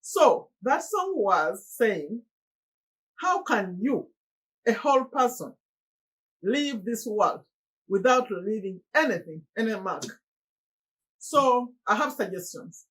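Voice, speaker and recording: A middle-aged Ugandan woman speaks in a slightly husky, breathy voice that sits a touch high. She talks straight to her viewers in an earnest, pleading way. Now and then her voice jumps up in animated exclamations. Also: slow pace, long pauses, poor audio. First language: Luganda. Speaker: motivational YouTuber. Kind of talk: motivational monologue to camera.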